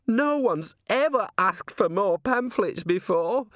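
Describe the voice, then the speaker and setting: A man voicing an earnest, excitable male constable and religious pamphleteer in an audiobook, eager and emphatic. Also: fairly high pitch, takes it slowly, no echo, clean studio sound, pitched above the performer's own voice, full character voice. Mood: overcome. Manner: eager, emphatic, easily moved. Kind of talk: audiobook character voice.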